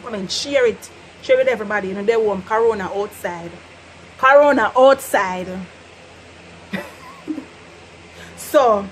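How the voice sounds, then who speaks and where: A young woman from Jamaica with a Jamaican accent, teaching patois in an animated, laughing casual vlog. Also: medium pitch, a little hiss or hum, low-quality recording. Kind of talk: casual chatty lesson.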